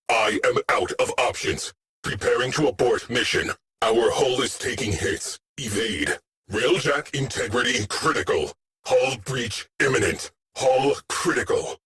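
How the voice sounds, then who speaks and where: An actor playing a terse, stoic military starship AI in a video game, giving clipped, deadpan orders and status callouts. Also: male voice, sits low. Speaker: ship's tactical AI officer.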